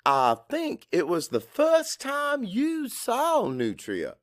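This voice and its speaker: A man's voice: high voice, a bit bright, dry recording, clean studio sound, pitched above the performer's own voice, full character voice. A man playing a middle-aged Cajun father, anxious and guarded, narrating his own story in a brooding, conversational audiobook style. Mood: awkward, tentative. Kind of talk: first-person dramatic audiobook narration.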